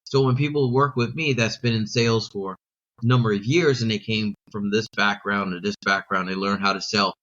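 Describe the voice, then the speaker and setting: A man's voice: lowish voice, fairly even intonation, brisk, a bit bright, highs cut off. A middle-aged man, a sales coach, talking emphatically in an interview and using rhetorical questions and repetition. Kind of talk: podcast interview.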